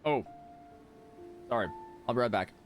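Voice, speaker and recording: A man's voice: mid-range voice, measured pace. A man giving casual live commentary as a game streamer. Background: game music.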